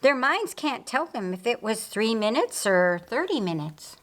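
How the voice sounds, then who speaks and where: A woman voicing a calm man who explains things matter-of-factly in audiobook dialogue. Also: on the high side, unhurried. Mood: explaining.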